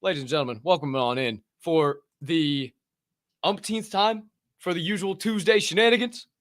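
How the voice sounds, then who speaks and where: A high, forceful male host with a voice that sounds a little worn and hoarse. He talks fast and loose, swears freely and builds up his guest like an announcer. Recorded close on a studio microphone. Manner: energetic, profane, big hype-man announcer intros. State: hoarse after a long weekend. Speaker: podcast host. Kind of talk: hyped casual show hosting.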